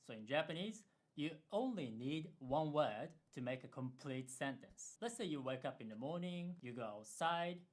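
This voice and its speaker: A man teaching language in a scripted video lesson, explaining clearly in an upbeat way with playful asides. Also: fairly high pitch, lively intonation, normal speed, hardly any pauses.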